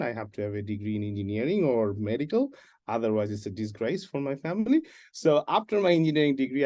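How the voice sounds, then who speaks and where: A man from Bangladesh, guest on an interview, telling his career story in an animated, joking way. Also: medium pitch. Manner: animated, jokey storytelling with laughs. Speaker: guest, animation production supervisor and writer-director. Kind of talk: casual interview talk.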